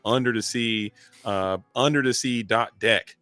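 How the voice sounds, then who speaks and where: A man giving lively, joking play-by-play commentary on a live card game. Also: mid-range voice, smooth, dry recording, soft background music. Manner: energetic, joking, drawn-out emphasis.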